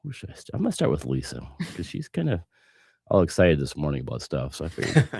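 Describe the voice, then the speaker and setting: A man hosting a casual finance podcast, hoarse from a cough, relaxed and jokey. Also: very deep voice, fast talker. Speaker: podcast host.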